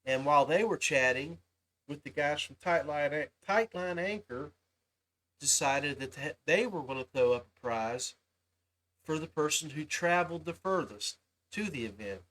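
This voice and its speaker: A man's voice: a little high, animated, normal speed, fairly bright tone, low-quality recording. A man hosting a livestream podcast, talking casually and informally to his viewers. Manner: informal, addresses listeners as guys. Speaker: podcast host.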